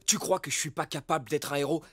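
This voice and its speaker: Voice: medium pitch, fast talker, music in the background, lightly acted. A performer voicing a vain, jealous male pet dog in a French cartoon dub, boastful and theatrical. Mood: jealous, huffy. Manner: boastful, theatrical, swinging from grumbling to grand declarations.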